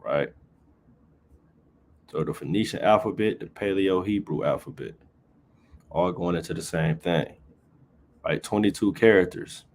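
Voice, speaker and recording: A man's voice: deep voice, measured pace, long pauses, slight background noise. A man teaching scripture informally on a livestream, reading verses aloud, then explaining them casually and tagging nearly every phrase with "right?". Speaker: Bible teacher.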